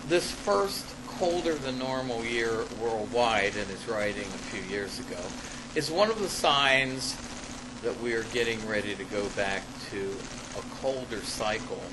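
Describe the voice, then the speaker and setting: Slow, low-voiced man lecturing over noise. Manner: halting, deliberate delivery with repeats. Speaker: academic presenting own paper to a class. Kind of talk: reading a paper aloud with asides. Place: classroom. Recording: clearly noisy live classroom recording.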